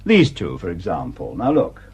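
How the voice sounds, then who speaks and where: An actor playing a shrewd, sardonic police superintendent in a radio play, dry and probing in manner. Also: male voice, low voice, huge pitch swings, moderate pace, darkish tone, husky, exaggerated voice.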